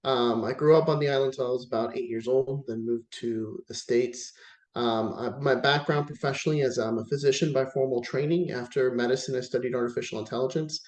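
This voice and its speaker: A man's voice: medium pitch, steady tone, fairly quick, a little mellow, echoey room, poor audio. A man from Puerto Rico introducing himself on a panel in a friendly tone, listing his work with fillers between items.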